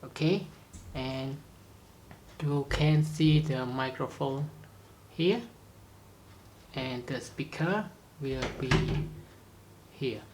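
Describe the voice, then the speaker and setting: A presenter giving a step-by-step product demonstration in simple, halting sentences with non-native grammar. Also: mid-range voice, noisy background. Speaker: tutorial presenter.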